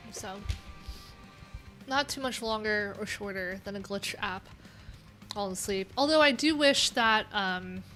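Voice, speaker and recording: A woman's voice: medium pitch, a little slow, pauses often, a bit bright, soft background music. Chatty woman rambling on a livestream. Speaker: livestream coding host. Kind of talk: casual livestream chat.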